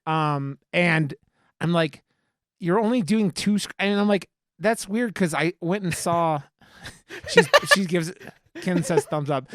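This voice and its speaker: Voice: mid-range voice, slow pace, no echo, studio quality. A podcast host chatting casually, with teasing, profane joking. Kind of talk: casual banter on a film review podcast.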